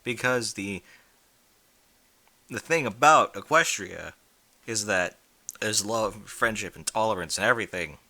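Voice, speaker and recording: A man's voice: fairly low pitch, measured pace, pauses often, pushed and bright. A man reading fan fiction aloud in an informal, blunt way, with incredulous asides in casual speech. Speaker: fan fiction reader and commentator.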